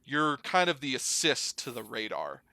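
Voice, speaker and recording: A young American man with a bright, forward voice, recorded close and dry. He talks casually, as if explaining things to a friend. His delivery is informal and rambling, with plenty of slangy hedging. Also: medium pitch, very animated, moderate pace, gravelly, no room sound. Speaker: podcast guest, air traffic controller. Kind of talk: casual conversational storytelling and explanation.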